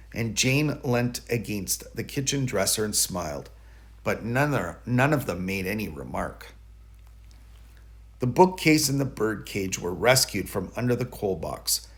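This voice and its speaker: A man narrating a children's bedtime story in an expressive way, leaning dramatically on key words. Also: lowish voice. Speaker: storybook reader.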